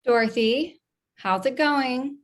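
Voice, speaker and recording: A woman's voice: sits high, takes it slowly. A woman playing a polite, attentive sales associate with a pleasant manner in an audio drama. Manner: pleasant, service-minded.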